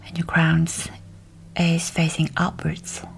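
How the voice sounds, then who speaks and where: A woman leading a guided meditation in a soft, breathy, whispery voice, with gentle, measured, soothing delivery. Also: low voice, completely flat delivery, a little hiss or hum, rough recording.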